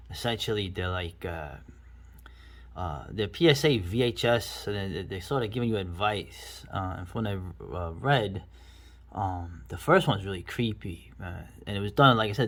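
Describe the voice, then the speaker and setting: Middle-aged man, slightly low voice, rambling commentary. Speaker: host reviewing online horror videos.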